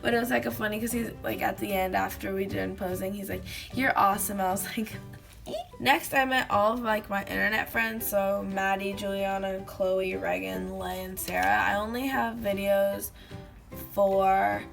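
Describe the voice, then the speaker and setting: A teenage girl vlogging casually to a webcam in her bedroom, telling stories with lots of fillers and giggles, her voice slightly hoarse from having lost it. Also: mid-range voice, steady tone, moderate pace, over music. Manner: chatty, giggly storytelling with many fillers. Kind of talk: casual chat to camera. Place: small bedroom indoors.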